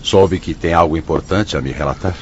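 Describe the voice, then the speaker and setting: An actor with a Brazilian accent playing a solemn, benevolent spirit director in a radio drama, speaking formally and with authority. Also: male voice, deep voice, brisk, darkish tone, lightly acted. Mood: courteous, grave. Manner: formal, declamatory. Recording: dull lo-fi audio, faint noise.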